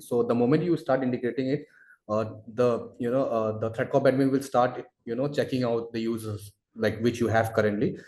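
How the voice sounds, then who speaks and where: A man answering webinar questions as a product expert, explaining in a halting, wordy way full of verbal fillers. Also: mid-range voice, a little mellow. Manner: halting, wordy, repeats filler phrases "you know". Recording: roomy, echoey webinar audio.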